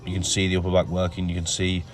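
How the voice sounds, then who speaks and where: A man with a nasal voice from a cold, explaining his gym workout in a relaxed voice-over full of ums. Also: sits low, fast talker. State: has a cold. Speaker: bodybuilder narrating his workout. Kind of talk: casual voice-over commentary.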